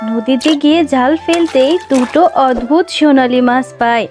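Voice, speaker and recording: High-pitched woman narrating a children's story. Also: moderate pace, darkish tone, faint music behind.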